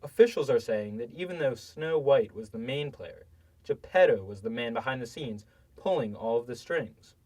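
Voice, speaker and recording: Man playing animated field reporter, off-mic. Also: mid-range voice, normal speed, recorded from a distance, slight background noise, close to an everyday voice, poor audio. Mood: dramatic reporting. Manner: dramatic, emphatic reporter delivery. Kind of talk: mock TV news field report.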